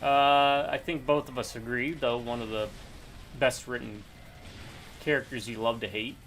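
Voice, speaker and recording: A man's voice: mid-range voice, fairly even intonation, moderate pace, fairly bright tone, a little hiss or hum. A man chatting casually while playing a co-op game, joking and thinking aloud. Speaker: co-op game player. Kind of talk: casual gameplay chat.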